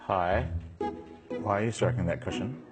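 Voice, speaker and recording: A man's voice: fairly low pitch, moderate pace, over loud music. A man talking casually in a television piece.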